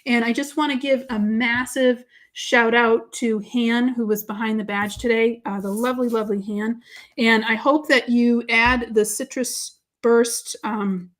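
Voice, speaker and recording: Woman, Boston accent, slightly high voice, bubbly, chatty live craft demo. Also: steady tone, a little slow, a bit bright. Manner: bubbly, gushing, talks to viewers by name. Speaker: craft show host.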